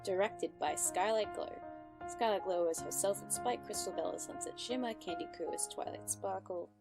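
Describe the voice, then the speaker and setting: A woman's voice: medium pitch, normal speed, vocal fry, over loud music. A woman hosting casual reaction commentary, chatty and upbeat, full of fillers and self-corrections. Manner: giggly, upbeat. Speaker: reaction channel host.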